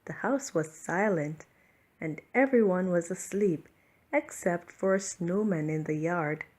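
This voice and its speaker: A woman narrating a children's bedtime picture book in an expressive, deliberate storytelling style. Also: fairly low pitch. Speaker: storybook reader.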